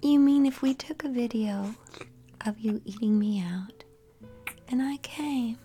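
A woman acting an affectionate, aroused lover in an intimate adult audio roleplay, speaking in a breathy, halting whisper with sighs between phrases. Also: fairly high pitch, mellow, faint music behind, close to an everyday voice. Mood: flirty, coy. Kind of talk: intimate erotic audio roleplay addressed to the listener.

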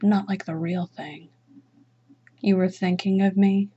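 A woman with a mellow, heavily breathy voice speaks slowly in low whispers, like a dominant mistress giving orders. The sound is dull and muffled, as on a lo-fi recording. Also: fairly even intonation. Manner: slow teasing whispers; commanding. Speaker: erotic audio roleplay performer.

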